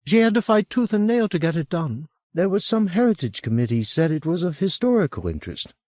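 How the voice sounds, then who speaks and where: Chatty middle-aged woman, warm and teasing, lilting delivery with lively rises; a male narrator puts her on, dry close sound. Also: high-pitched, brisk, no room sound, pitched above the performer's own voice. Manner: lilting and chatty, drops to a hush. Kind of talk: audiobook character voice.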